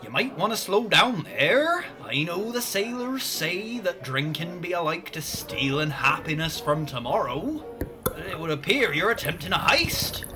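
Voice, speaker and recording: A man's voice: a little high, big pitch swings, a little slow, crowd noise. A man acting a chatty, boastful pub landlord of old times in a comic radio play, with folksy rustic speech.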